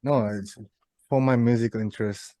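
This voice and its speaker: A Malaysian man in his thirties talks casually as a guest in an interview. His voice has a middling pitch, and the recording is dry with little room around it. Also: fairly even intonation, moderate pace, dark tone, no echo. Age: 30s. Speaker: guitarist in a band, interview guest. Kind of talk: casual chat.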